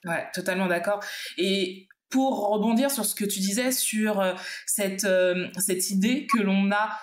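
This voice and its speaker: Woman, Martinican origin, podcast host, curious questions, middle pitch, roomy echo. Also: measured pace. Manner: curious, asks questions, lively rises in pitch. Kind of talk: casual conversation.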